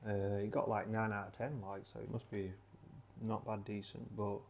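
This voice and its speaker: A man with a broad South Yorkshire accent chats in a loose, rambling way, stopping and restarting his sentences. His voice sits on the low side with a mellow, dark tone. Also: little pitch movement, moderate pace, gravelly, slight background noise. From Sheffield, South Yorkshire. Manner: rambling with many fillers and restarts. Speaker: channel creator. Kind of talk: casual rambling chat.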